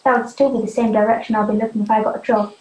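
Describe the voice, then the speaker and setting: A woman's voice: a little high, level tone, very fast, darkish tone, lightly acted. Young woman acting a defiant runaway girl, roomy sound. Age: young adult. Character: defiant, hurt teenage runaway girl living wild. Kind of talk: youth theatre stage drama acting. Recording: muffled, roomy amateur recording.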